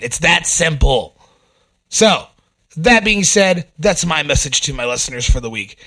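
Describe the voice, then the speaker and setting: A young man hosting a metal radio show, talking bluntly and with heavy profanity, sniffly and rough from a head cold. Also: medium pitch, sharp tone. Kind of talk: casual radio monologue.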